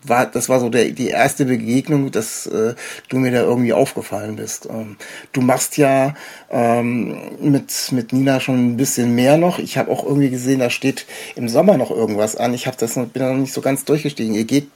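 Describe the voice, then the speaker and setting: A man's voice: medium pitch, steady tone, a little fast, a little mellow. A man hosting a podcast in casual interview chat, with hesitant, meandering phrasing. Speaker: podcast host.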